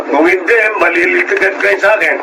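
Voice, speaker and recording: High-strung, irascible Filipino boss. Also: male voice, fairly even intonation, fairly quick, vocal fry, heavy background noise. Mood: angry, domineering. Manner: barking, scolding, threatening. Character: irascible, domineering older male boss. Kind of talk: Filipino radio drama acting.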